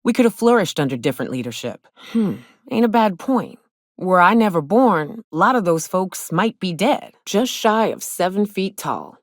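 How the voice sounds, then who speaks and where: An actress playing a gruff, sardonic, hard-drinking frontier guide and monster hunter in a video game, with a folksy drawl. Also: female voice, medium pitch, sing-song, moderate pace, no room sound, studio quality. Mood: wry. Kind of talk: video game character dialogue.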